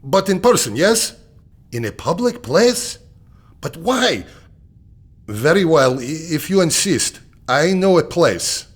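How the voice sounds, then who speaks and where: Polite russian intelligence officer for a game, russian accent, husky, drawn out, higher voice. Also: male voice, sing-song, slow, heavily acted. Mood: courteous, wary. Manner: hesitant, formal. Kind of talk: video game cutscene acting.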